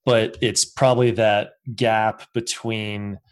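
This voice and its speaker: A man in his fifties hosts a casual conversation, musing aloud in a loose, reflective way. His voice is on the low side, and he laughs easily between thoughts. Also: little pitch movement, slow, clean tone. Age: 50s. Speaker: podcast host. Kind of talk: casual interview chat.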